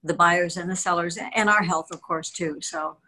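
A low-pitched older woman speaks with the easy authority of a veteran real estate agent. She chats at length in a relaxed, self-mocking podcast conversation. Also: moderate pace. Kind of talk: casual podcast chat.

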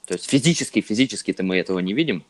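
A man's voice: on the low side, expressive, rapid-fire. A man in his twenties on a casual podcast, thinking aloud hesitantly with lots of fillers. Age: 20s. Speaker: podcast co-host.

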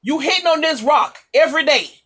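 A woman's voice: high-pitched, lively intonation, fairly quick, fairly bright tone. A woman preacher giving an impassioned, exhorting charismatic sermon, repeating phrases for emphasis.

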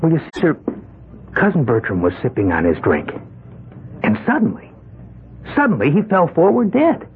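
A timid, strangely calm young man accused of murder in a 1970s radio mystery. He answers haltingly, and his voice swings up and down in pitch. The sound is thin and lo-fi, like an old broadcast with a faint hiss. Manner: meek, hesitant, oddly calm about his fate. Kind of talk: 1970s radio drama acting.

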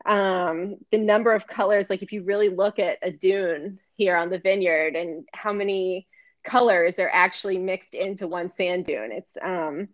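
A woman from Florida, an artist guest, chatting in a relaxed, hesitant way with many pauses and soft laughs. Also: lowish voice, lo-fi sound. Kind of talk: casual interview chat.

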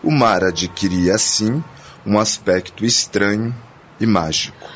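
A man's voice: fairly low pitch, a little slow, a bit bright, slight background noise. A man narrating a scripted radio drama. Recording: dull radio play with background music.

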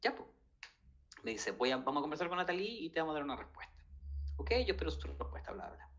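A man's voice: on the high side, sing-song, fast talker, a bit bright, lo-fi sound. Chilean man, hesitant storytelling. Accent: Chilean Spanish. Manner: hesitant, many fillers and restarts. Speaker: guest. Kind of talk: casual storytelling.

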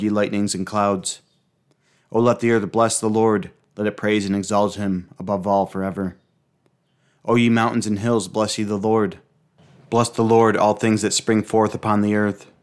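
A man reciting liturgical prayers, psalms and hymns in a solemn, measured, reverent manner. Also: on the low side.

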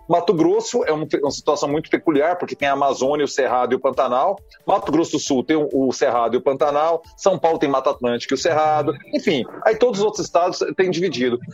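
Brief: man from Goiás, talk-radio commentator, slightly husky, dry muffled studio sound, animated and rambling. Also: a little high, no echo. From Goiás, Brazil. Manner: animated, digressive, frequent tag questions.

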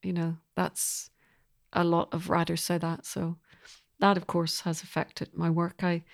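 A middle-aged woman being interviewed about her work as a composer, speaking reflectively in measured, considered phrases. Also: fairly low pitch, level tone, gravelly.

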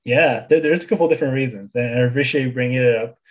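A man's voice: mid-range voice, quick pace. A young man from Bulgaria in his twenties, a podcast guest chatting casually, with many fillers and restarts. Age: 20s. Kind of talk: casual interview chat.

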